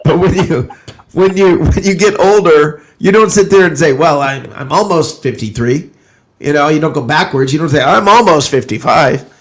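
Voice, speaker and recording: A man with a fairly high voice hosts a sports talk show. He banters casually about football, opinionated and emphatic, and likes to repeat his point. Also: normal speed.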